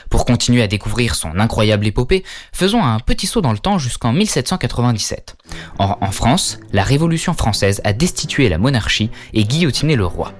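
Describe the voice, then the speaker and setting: A man's voice: mid-range voice, very animated, brisk. A man narrating a scripted art-history lesson, speaking directly to viewers with questions and wry asides. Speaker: educational video narrator.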